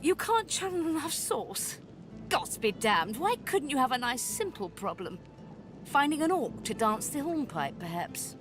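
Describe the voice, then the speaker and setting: An actress playing a world-weary, sharp-tongued sorceress mentor in a fantasy video game, with a sardonic, impatient and dramatic manner. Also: female voice, sits high, big pitch swings, unhurried. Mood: grim, sardonic. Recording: music behind the voice. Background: music.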